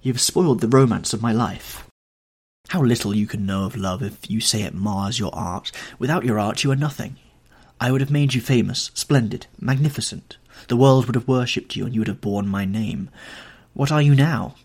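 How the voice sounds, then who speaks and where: A man voicing a cruel young gentleman who coldly scorns his lover, in a bitter, disdainful way. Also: mid-range voice, moderate pace, breathy edge. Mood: scornful.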